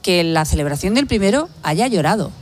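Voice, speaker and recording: A woman presenting lively news chat on radio, with teasing, ironic banter. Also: lowish voice, brisk, slight background noise.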